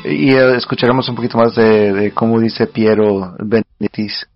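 A man's voice: lowish voice, flat delivery, normal speed, muffled recording, faint music behind. A male radio host chatting casually, rambling with many fillers and laughing often.

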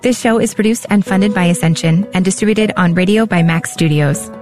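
A woman's voice: mid-range voice, a little fast, a little mellow. Woman announcer over music. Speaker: podcast announcer. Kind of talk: scripted outro narration. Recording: music bed under the voice.

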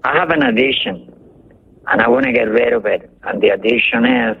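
An older man from Cuba with a Cuban accent, calling in to a radio show and talking haltingly, searching for words. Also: very high-pitched, wildly swooping pitch, vocal fry, husky. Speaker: caller. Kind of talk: call-in radio chat. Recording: tinny phone line with faint noise.

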